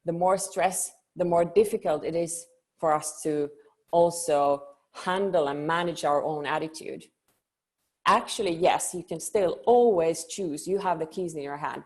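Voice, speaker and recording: A middle-aged woman from Finland, giving an earnest conference presentation and pausing on frequent ums. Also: low voice, darkish tone. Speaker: company founder and conference speaker.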